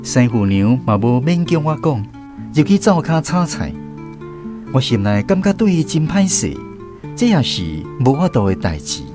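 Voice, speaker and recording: A man's voice: mid-range voice, very animated, moderate pace, over music, close to an everyday voice. A man playing a grown storyteller reflecting on his boyhood, narrating a radio drama with a Taiwanese accent. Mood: reflective.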